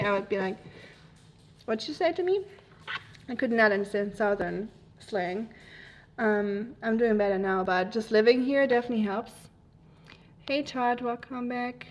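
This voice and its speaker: A woman's voice: a little high, slight background noise, low-quality recording. A woman from Germany with a German accent, hosting a casual livestream and chatting through viewer comments in a rambling, easygoing way. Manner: rambling, chatty, laughs easily. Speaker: livestream host.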